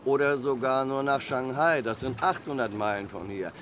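A man's voice: medium pitch, normal speed, a bit bright, close to an everyday voice. An actor playing a cautious, deferential male harbour boat pilot in a German audio drama, plain-spoken in manner. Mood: cautious, bargaining. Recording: faint noise, watery harbour ambience. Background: water and harbour ambience.

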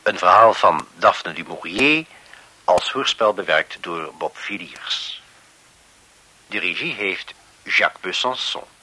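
A man with a husky voice reading formal scripted radio drama credits as an announcer. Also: deep voice, lively intonation, takes it slowly, sharp tone, poor audio. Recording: vintage 1970s radio broadcast.

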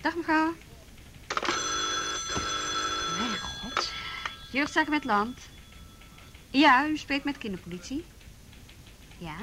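High-voiced, businesslike policewoman. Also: bright, forceful tone, heavily acted. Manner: businesslike, matter-of-fact. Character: brisk, dutiful female juvenile police officer. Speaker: actress in radio drama. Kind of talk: Dutch radio play acting, naturalistic dialogue. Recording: faint background noise.

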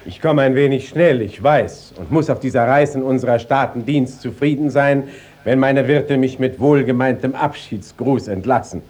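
Man playing a husky, formal court inspector. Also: mid-range voice, moderate pace, a little mellow, natural voice. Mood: courteous, official. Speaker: actor in a radio drama. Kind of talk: 1950s German radio play acting.

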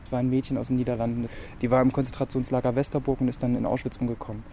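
A man's voice: mid-range voice, little pitch movement, very fast, warm tone. Persistent male street interviewer, faint background noise. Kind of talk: informal vox-pop questioning. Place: outdoors on a residential street.

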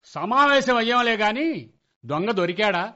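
Sharp, excitable blustering father. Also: high voice, moderate pace. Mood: suspicious, bewildered. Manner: exasperated, stubbornly emphatic. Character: blustering, hot-tempered middle-aged father of the household. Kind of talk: Telugu radio comedy play acting. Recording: dull lo-fi radio recording.

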